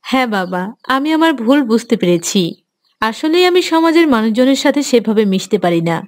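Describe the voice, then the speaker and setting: A woman's voice: on the high side, big pitch swings, moderate pace. A woman playing a dutiful, repentant young mother who speaks earnestly and deferentially to her father in a cartoon. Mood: remorseful. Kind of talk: Islamic moral cartoon dialogue.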